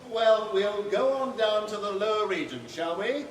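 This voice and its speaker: An actor playing a diffident, irritable British novelist in a radio drama, with clipped, dry understatement. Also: male voice, sits high, normal speed, reverberant, slight background noise, natural voice. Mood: reluctant, explaining.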